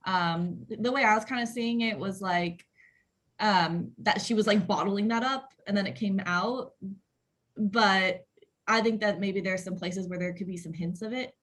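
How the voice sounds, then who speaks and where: Woman on a video call giving feedback, mid pitch, kinda halting and unsure. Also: a bit bright. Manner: hesitant, halting. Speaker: table read participant giving feedback. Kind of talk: casual discussion.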